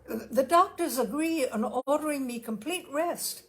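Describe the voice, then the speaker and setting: A woman voicing a nervous, self-absorbed gentleman who talks in an earnest, fussy, formal way in a storybook reading. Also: sits high, measured pace, pitched above the performer's own voice.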